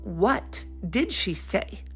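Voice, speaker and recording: A woman voicing a warm but firm mother who talks in a soothing, homely way. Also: mid-range voice, big pitch swings, very slow, faint music behind, full character voice.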